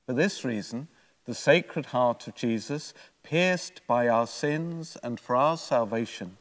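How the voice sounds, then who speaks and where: Older priest in a reverberant church. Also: male voice, medium pitch, slow. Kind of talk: homily, read from prepared notes. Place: church, at a lectern. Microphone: gooseneck lectern microphone.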